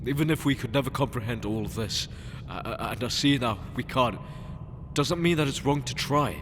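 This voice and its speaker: A man's voice: medium pitch, normal speed, background noise, lightly acted. A man playing an ambitious, idealistic space explorer in a sci-fi audio drama, with an earnest, emotional and dramatic delivery. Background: music.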